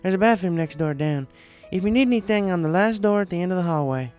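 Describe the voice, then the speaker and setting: A folksy young farm mare from a cartoon story voiced by a man, pitched well up. The pony is hospitable and plain-spoken, talking in a friendly, welcoming way over background music. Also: expressive, quick pace, darkish tone. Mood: warm, folksy.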